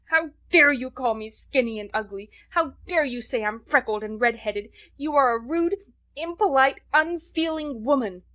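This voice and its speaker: A woman voicing a passionate young orphan girl in a storybook reading, high and choked with fury. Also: normal speed, sharp tone. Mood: furious.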